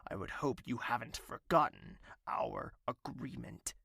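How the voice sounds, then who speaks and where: Gravelly, imperious royal ruler. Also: male voice, medium pitch, huge pitch swings, measured pace, sharp tone, no echo, studio quality, exaggerated voice. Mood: cold, stern. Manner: stern, clipped, authoritative. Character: cold, commanding royal ruler, unbending and imperious. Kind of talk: dramatic audio drama dialogue.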